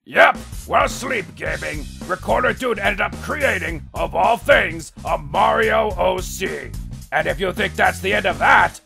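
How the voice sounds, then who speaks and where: A man's voice: high voice, lively intonation, unhurried, vocal fry, bright, forceful tone, faint music behind. A man hosting and narrating a scripted video intro in a playful, upbeat announcer manner. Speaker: host.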